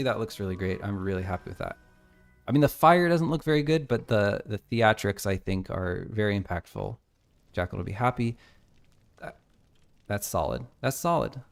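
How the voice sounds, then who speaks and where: American game dev streamer, lowish voice with a gravelly edge, talks through his code as he works. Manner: thinking aloud, reading code out. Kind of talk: casual live coding stream.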